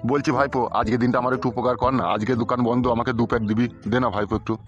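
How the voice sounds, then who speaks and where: Man voicing a fast-talking crude, tipsy cartoon uncle. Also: medium pitch, fairly even intonation, natural voice. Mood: wheedling. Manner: slangy, blustering, foul-mouthed. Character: crude, tipsy middle-aged village uncle, touchy freeloading drinker. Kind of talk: comic cartoon dialogue. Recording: dull, muffled lo-fi cartoon audio. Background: music.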